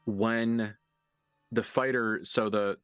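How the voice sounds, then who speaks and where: A man working as game master, improvising narration for a tabletop roleplaying game with deliberate, halting phrasing and dramatic emphasis. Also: fairly low pitch, steady tone, fairly bright tone, smooth, no room sound. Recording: dry voice over background music.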